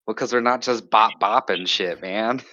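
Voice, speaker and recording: A man's voice: normal speed, sharp tone, no echo. A man player in a tabletop game who laughs a lot. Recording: dull low-fidelity sound.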